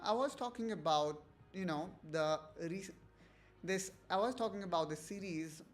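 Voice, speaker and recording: A man's voice: on the high side, a little hiss or hum. A man hosting a casual tech livestream, talking hesitantly with many fillers and restarts while checking in with his viewers. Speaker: livestream host.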